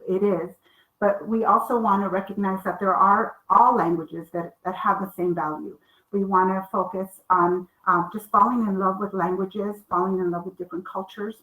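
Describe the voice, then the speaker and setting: Spanish-first-language woman, middle-aged author, earnest and measured, video call in a roomy echoey space. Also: medium pitch, a bit bright. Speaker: children's book author. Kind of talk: library author talk.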